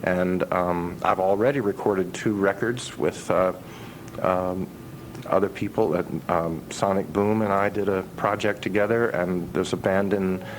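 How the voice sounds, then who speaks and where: A man speaking as a musician in a casual radio interview, telling things in a halting way with pauses and restarts. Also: low voice, fairly even intonation. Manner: halting, pausing, trailing restarts. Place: radio interview with noise behind the voice. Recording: noisy radio aircheck recording.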